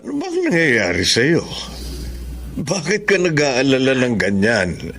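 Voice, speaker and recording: An actor playing a steady, reassuring middle-aged husband and father in a Tagalog radio drama. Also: medium pitch, measured pace, heavily acted.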